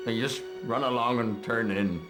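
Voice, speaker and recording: A hulking, soft-hearted gamekeeper, voiced by a man, talking in a plain, rough-edged way at a middling pitch. Music plays clearly under the cutscene dialogue. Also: normal speed, natural voice. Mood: resigned. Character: big gentle male gamekeeper, sad and resigned.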